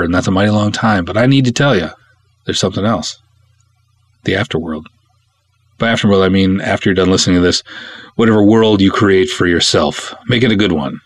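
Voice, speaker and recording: Low-voiced American man, playful podcast storyteller. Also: dry recording. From Minnesota, United States. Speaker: podcast host. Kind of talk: expressive story reading and podcast hosting.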